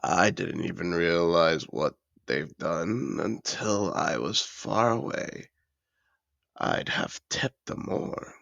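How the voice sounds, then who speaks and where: A man playing a seductive, possessive dragon in human disguise in an intimate fantasy audio roleplay, teasing and courtly in a slow, gravelly murmur. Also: sing-song, a bit bright, no room sound, dull audio, heavily acted. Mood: sly, tender. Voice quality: very deep, gravelly. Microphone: close, dry microphone.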